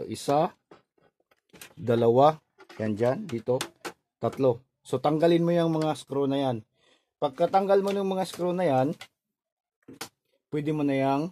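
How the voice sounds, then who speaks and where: A man with a Filipino accent narrating an informal hands-on repair tutorial in short, step-by-step instructional phrases. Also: mid-range voice. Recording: noisy recording with clicks.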